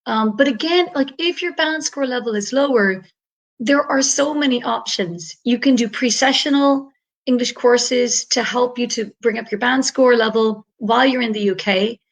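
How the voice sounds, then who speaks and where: A woman's voice: on the high side, moderate pace, poor audio. A woman from Ireland, an English tutor talking on a video call in a chatty, upbeat way with many fillers. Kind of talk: online panel talk.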